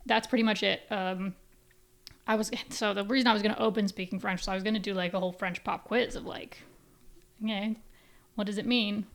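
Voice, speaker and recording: A tired young woman from Canada hosts a solo podcast, her voice sitting at an ordinary middle pitch. She keeps things soft and low-key because of a migraine, often dropping into whispery asides. Her talk wanders and doubles back on itself. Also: steady tone, fairly quick. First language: English. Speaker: podcast host. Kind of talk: casual solo podcast chat.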